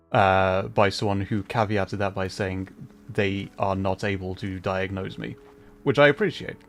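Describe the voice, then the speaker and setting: A man hosting a casual gaming livestream, talking in a hesitant, measured way as he plays. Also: on the low side. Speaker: livestream host, gamer. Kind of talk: casual livestream chat while playing a video game. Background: music.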